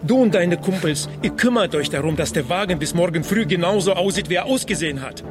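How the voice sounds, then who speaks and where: An actor playing a wry, unflappable middle-aged investigator in a radio drama, with a measured, dry delivery. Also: male voice, high voice, lively intonation, music in the background. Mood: coolly coercive. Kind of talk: radio drama acting with first-person narration.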